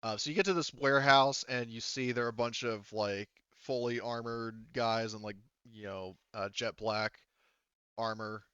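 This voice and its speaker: A man's voice: medium pitch, steady tone, moderate pace, pushed and bright, clear, resonant tone, no echo, muffled recording. A man acting as game master of a tabletop sci-fi roleplaying game, improvising and thinking aloud in a casual way.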